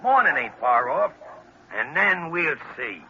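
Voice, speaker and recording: Scheming crook boss, gruff orders, lively pitch, hissy lo-fi old radio. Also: male voice, a little slow. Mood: commanding. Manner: gruff, commanding. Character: scheming male gang boss Duke, cold. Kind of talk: 1940s radio acting. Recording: dull lo-fi old radio recording with hiss.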